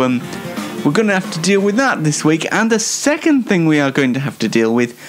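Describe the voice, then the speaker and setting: A young British man hosting a show on his own, giving a casual, rambling comedic monologue in a self-deprecating way. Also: a little high. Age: young adult. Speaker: solo host of an online talk show.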